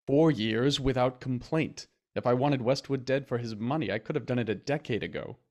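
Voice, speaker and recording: An actor playing a dry, world-weary doctor in a period mystery radio play, speaking formally. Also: male voice, medium pitch, moderate pace, darkish tone, natural voice. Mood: accusing.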